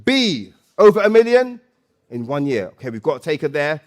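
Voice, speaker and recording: A man hosting a live stage event, loud and playful, coaxing the audience and often repeating phrases. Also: on the high side, big pitch swings, normal speed. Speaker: event host and MC.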